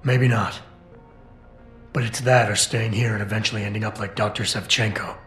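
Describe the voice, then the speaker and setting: Guy doing a stoic game cyborg agent, low voice, clipped and determined, echoey w music. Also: steady tone, moderate pace, fairly bright tone, lightly acted. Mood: reassuring, determined. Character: stoic, determined male cyborg security operative on a rescue mission. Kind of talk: video game cutscene dialogue. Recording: roomy game cutscene with music. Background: background music.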